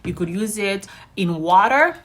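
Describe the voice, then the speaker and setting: Female vlog host, native Haitian Creole speaker, mid-pitched voice, upbeat and informal, addressing her audience directly. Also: animated, unhurried. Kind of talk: casual chat to viewers.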